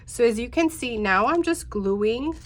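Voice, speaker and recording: A woman's voice: a little high, expressive, unhurried. A woman in her thirties, a bit congested and nasal, chatting casually to viewers while leading a step-by-step craft tutorial. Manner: chatty, talks straight to viewers, many fillers.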